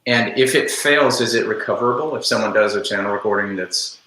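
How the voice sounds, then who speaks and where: A man's voice: on the low side, fairly quick, sharp tone. A man leading a casual work call, giving direction while checking that the others agree. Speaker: team lead running a release call. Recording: echoey room on online call.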